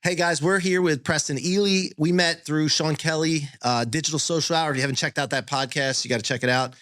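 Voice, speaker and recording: A man's voice: fairly high pitch, fairly even intonation, a little fast, fairly bright tone, no echo. A man hosting a podcast in a studio. He chats casually and encourages his guest, often talking in long run-on stretches. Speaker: podcast host. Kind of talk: casual interview chat.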